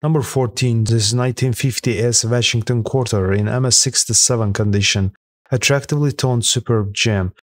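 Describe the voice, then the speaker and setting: A man reading a scripted voice-over that counts down rare coins sold at auction, in a steady, even manner with an occasional slip. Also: mid-range voice, normal speed, no echo. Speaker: narrator of a coin auction countdown. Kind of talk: scripted voice-over narration read aloud.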